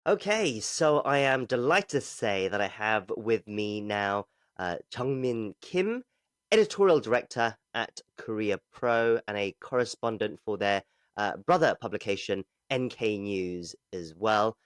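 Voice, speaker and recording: A man hosting a politics podcast, reading a measured scripted intro, then interviewing a guest. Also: lowish voice, lively intonation, clear, resonant tone, no echo, clean studio sound. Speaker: podcast host. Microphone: video call.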